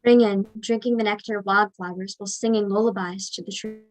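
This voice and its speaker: A woman's voice: mid-range voice, fairly even intonation, brisk, soft background music, close to an everyday voice, rough recording. A woman playing a wistful daughter reliving childhood, speaking lyrical, chant-like lines in a staged play reading. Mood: dreamy, nostalgic. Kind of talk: poetic stage monologue.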